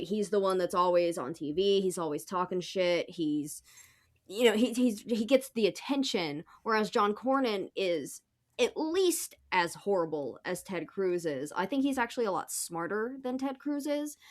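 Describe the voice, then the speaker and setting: A woman's voice: mid-range voice, expressive, normal speed, a bit bright. A woman with an American accent in casual political podcast chat, sweary and sardonic. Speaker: podcast panelist.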